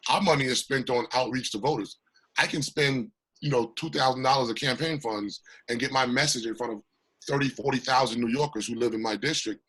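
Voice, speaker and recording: A man with a raspy voice, still recovering from illness, speaking as a congressional candidate in an interview, emphatic and passionate. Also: mid-range voice, fairly bright tone, rough recording. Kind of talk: remote interview.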